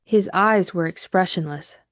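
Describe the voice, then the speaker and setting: Low-voiced woman narrating an audiobook. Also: a little slow, no echo, studio quality. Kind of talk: scripted literary narration.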